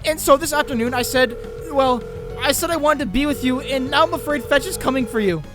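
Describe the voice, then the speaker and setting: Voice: high-pitched, brisk, music in the background, natural voice. An actor playing an anxious, guilt-ridden teenage boy who rambles and frets in a dramatic comic dub. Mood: frantic, pleading. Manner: rambling, fretful self-questioning with muttered asides.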